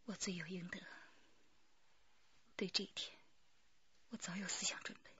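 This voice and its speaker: An actress playing a proud, strong-willed murder mastermind in a radio drama, confessing in a breathy, intense half-whisper. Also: female voice, on the low side, steady tone, normal speed, sharp tone, lo-fi sound, full character voice. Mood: bitter, defiant. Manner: intense, defiant.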